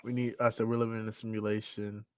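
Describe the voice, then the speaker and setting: A man's voice: lowish voice, little pitch movement, quick pace, a little mellow. A man in his twenties co-hosting a podcast, talking loosely and rambling in casual chat. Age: 20s. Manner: loose, rambling, leans on "you know what I'm saying". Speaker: podcast co-host.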